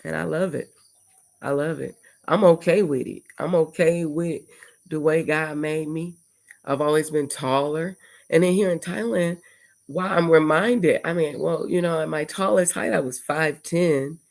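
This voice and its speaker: Slow-talking older woman with a gravelly voice. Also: sits low, darkish tone. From United States. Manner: rambling, reflective, lists things in long runs. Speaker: livestream host. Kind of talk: casual livestream monologue. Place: indoors at home before dawn.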